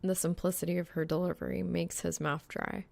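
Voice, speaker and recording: A woman's voice: lowish voice, flat delivery, brisk, gravelly, darkish tone, no room sound. A woman reading scripted fan fiction as an audiobook narrator.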